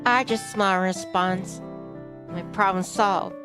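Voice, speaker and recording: A woman's voice: medium pitch, fairly even intonation, a little slow. Woman narrating a visual novel over music. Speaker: streamer reading a visual novel aloud. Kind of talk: narration with casual playthrough asides. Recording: music behind the voice.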